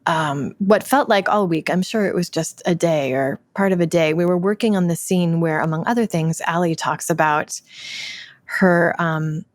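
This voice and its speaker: A woman's voice: lowish voice, normal speed, no echo. A woman theatre artist recounting an experience reflectively, with emphatic repeats and self-corrections. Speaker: theatre artist discussing a production. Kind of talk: reflective spoken anecdote.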